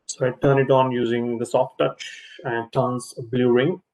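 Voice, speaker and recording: A man's voice: mid-range voice, level tone, moderate pace, a little mellow, low-quality recording. A man presenting an informal product demonstration as a trainer, speaking hesitantly and often repeating himself.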